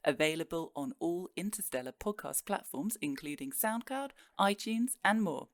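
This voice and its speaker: An actress playing a polished interstellar news broadcaster, announcing a scripted podcast promo in a crisp manner. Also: female voice, lowish voice, expressive, normal speed, dry recording, close to an everyday voice. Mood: upbeat.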